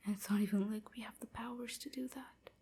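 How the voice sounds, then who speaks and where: A woman playing a melancholy enslaved vampire in an intimate audio roleplay, gentle and confiding, with a breathy, often whispered voice. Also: a little high, fairly even intonation. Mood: bitter sorrow. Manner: slow, hesitant, softly confiding.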